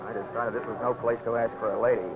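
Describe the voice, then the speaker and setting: An actor in hard-boiled 1940s radio style, playing a cocky, wisecracking insurance investigator who also narrates, with a breezy delivery. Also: male voice, medium pitch, level tone, quick pace, natural voice. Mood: narrating. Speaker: narrator and lead. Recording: dull old radio broadcast with strong hiss.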